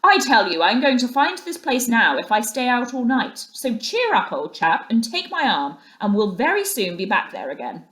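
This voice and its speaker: Storybook gentleman rat, loyal and imperious, emphatic chummy delivery, pitched a little above narration by a woman reader. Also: very animated, moderate pace, hardly any pauses. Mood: hearty, determined. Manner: hearty, emphatic, old-chap chumminess. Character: hearty, loyal, bossy gentleman water rat.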